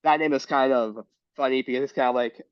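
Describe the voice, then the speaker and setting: A sick man with a raspy voice, reading a letter aloud and talking in a casual group discussion with many restarts. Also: medium pitch, steady tone, fast, no echo, lo-fi sound. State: sick, coughing.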